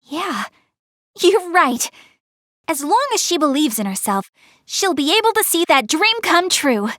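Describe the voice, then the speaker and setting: A woman's voice: sits high, no echo, clean studio sound. A woman voicing an earnest young goddess girl in an anime game, proud but shy, speaking to the player in a self-conscious, slightly defensive way. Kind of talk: English anime game voice acting, addressing the player.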